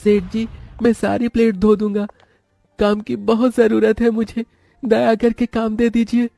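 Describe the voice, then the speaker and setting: A man's voice: high voice, completely flat delivery, unhurried, creaky, dark tone, no echo, clean studio sound, soft background music, pitched above the performer's own voice. A man voicing a humble, devoted poor elder brother in a cartoon moral story, pleading and sorrowful. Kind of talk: animated moral-story acting.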